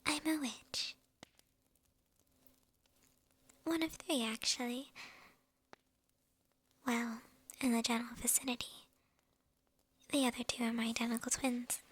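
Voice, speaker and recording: A woman playing a lonely, possessive witch in an ASMR roleplay, whispering in a breathy, creaky voice with sly, coy amusement. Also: on the high side, steady tone. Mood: coy, smug. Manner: unhurried, coy, amused, addressing the listener intimately. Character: lonely, possessive young witch, slyly menacing and teasing.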